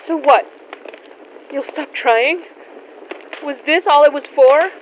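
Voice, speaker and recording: A woman's voice: high-pitched, highs cut off, a little hiss or hum. A woman playing an earnest, stubborn young woman who confronts ghosts in an audio horror drama. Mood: urgent, confronting. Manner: earnest, insistent, pleading.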